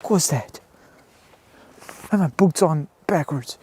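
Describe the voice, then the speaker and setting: A young man filming himself camping in the woods at night, talking to his viewers, often in a hushed whisper. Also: on the high side, a little slow, slightly rough. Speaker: camping vlogger. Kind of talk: frightened first-person vlog. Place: woods and tent at night, outdoors. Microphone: handheld camera.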